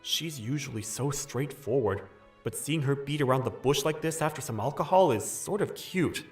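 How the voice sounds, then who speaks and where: A man's voice: medium pitch, expressive, normal speed, breathy edge, faint music behind. A young man playing a polite, cautious new employee, speaking dialogue and first-person narration in a manga dub. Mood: reflective. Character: hesitant, polite young man, newly hired junior employee.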